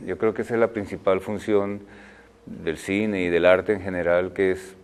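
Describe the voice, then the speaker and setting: An older man speaks in a very low voice, weighing his words as an interview guest. He restarts phrases and leans on fillers while he thinks. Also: steady tone, clear, resonant tone. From Colombia. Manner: thoughtful, halting, many fillers and restarts. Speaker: guest, film director. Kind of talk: interview answers.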